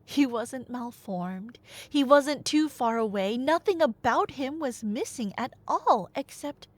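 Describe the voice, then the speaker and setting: Slow, lilting nerdy girlfriend played by a woman. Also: sits high, lively intonation, no echo, heavily acted. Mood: engrossed storytelling. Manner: wry, hesitant asides, teasing innuendo. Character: nerdy rambling girlfriend playfully retelling Greek myths. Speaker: ASMR audio roleplay performer. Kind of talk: casual conversational storytelling, ASMR roleplay.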